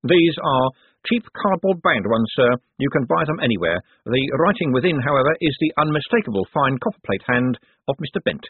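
A man's voice: mid-range voice, sing-song, brisk, husky, no echo, phone-quality sound, pitched above the performer's own voice. A man voicing a polite, fussily precise male secretary who defers to his master, in an audiobook.

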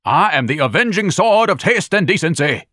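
Brief: male narrator voicing a pompous blowhard, lively swooping delivery, dry close sound. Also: medium pitch, normal speed, dry recording. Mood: outraged. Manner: bombastic, grandiloquent, scolding. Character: pompous huge eccentric man, lofty and indignant.

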